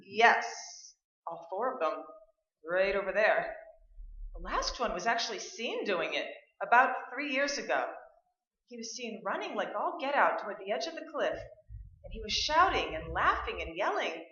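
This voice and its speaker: An actress playing a brisk, practical, no-nonsense woman in a staged suspense radio play, emphatic and matter-of-fact. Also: mid-range voice, very animated, bright, forceful tone. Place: indoor stage, live performance. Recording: roomy, dull stage recording.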